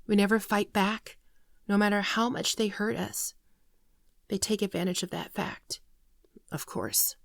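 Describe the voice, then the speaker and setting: A woman voicing a gentle, wounded shapeshifter alien in a soft, earnest, confessional ASMR roleplay, often close to a whisper. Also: medium pitch, gravelly, no room sound. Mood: grave, regretful. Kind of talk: ASMR roleplay monologue, scripted.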